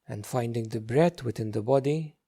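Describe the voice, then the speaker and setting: Male meditation teacher, dark relaxed tone, medium pitch, gentle instruction, frequent pauses. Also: creaky, breathy edge. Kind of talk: guided meditation.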